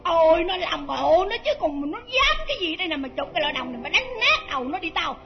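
Shrill, spiteful southern Vietnamese mother-in-law. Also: very animated, quick pace, faint music behind, heavily acted. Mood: scolding, menacing. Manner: shrill, scolding, wailing, melodramatic. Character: spiteful, bullying Vietnamese mother-in-law, middle-aged or older. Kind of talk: Vietnamese stage melodrama acting. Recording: dull lo-fi old drama recording.

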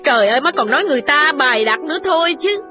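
A woman's voice: sits high, animated, racing, voice pushed up. A woman voicing a jealous young wealthy wife speaking indignantly. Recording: dull lo-fi audio with music.

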